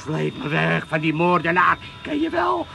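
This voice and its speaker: A man's voice: fairly high pitch, big pitch swings, normal speed, vocal fry, pushed and bright, soft background music, full character voice. An actor playing a blustering, self-pitying old father with an Amsterdam accent in a radio comedy, theatrical and indignant. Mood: indignant, accusing. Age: older.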